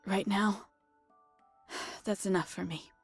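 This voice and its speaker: An actress playing a steadfast, fierce young female soldier in a video game drama, with a firm, deliberate delivery. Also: medium pitch, fairly even intonation, husky, no room sound, soft background music. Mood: wounded, defiant.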